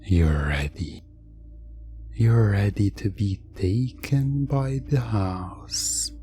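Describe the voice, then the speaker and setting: A man with a lowish, mellow voice narrates a hypnosis roleplay in an ASMR style. He speaks extremely slowly and suggestively, leaving long pauses between phrases. Soft music plays faintly behind him. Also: slight background noise.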